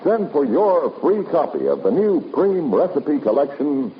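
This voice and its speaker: A man's voice: on the high side, sing-song, unhurried. A man announcing a 1950s radio commercial with a polished, emphatic sales delivery. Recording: muffled vintage radio recording with noise.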